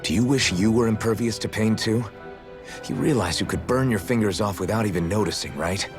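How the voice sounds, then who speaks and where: An actor playing an earnest, questioning young slave fighter in a video game cutscene. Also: male voice, on the low side, brisk, lightly acted. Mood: concerned challenge. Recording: music behind.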